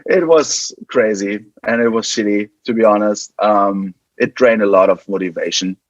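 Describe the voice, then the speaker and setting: A man's voice: on the low side, normal speed, dry recording, lo-fi sound. A man from West Germany with a German accent, chatting casually as an interview guest and often repeating and restarting his words. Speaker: podcast guest, band guitarist.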